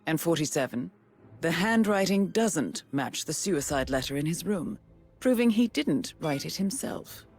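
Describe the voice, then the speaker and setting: An actress playing a calm, analytical female mission handler who talks an assassin through the clues. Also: fairly low pitch. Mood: thoughtful. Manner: measured, analytical. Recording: music behind.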